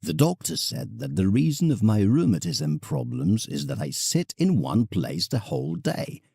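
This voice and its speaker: Male actor as old eccentric painter, audio drama letter, theatrical, husky, dark mellow tone, musing. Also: medium pitch, big pitch swings, moderate pace, dry recording, studio quality, exaggerated voice. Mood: mock indignation. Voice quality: gravelly, husky. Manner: theatrical, musing, conversational asides. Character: elderly eccentric English painter writing a fond, indignant letter.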